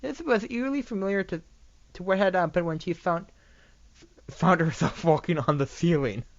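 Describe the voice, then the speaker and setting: An amateur narrator reading a fan fiction story aloud in a halting way, often stumbling over and repeating words. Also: medium pitch, dry recording, muffled recording.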